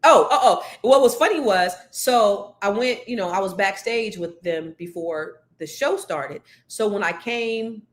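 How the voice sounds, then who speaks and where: A woman hosting a live show, chatting excitedly to viewers; her voice is deeper than usual from cigar smoke. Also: a little slow, hardly any pauses. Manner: chatty, excitable storytelling with many fillers. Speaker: host of a live money and news show. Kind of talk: casual chat to viewers.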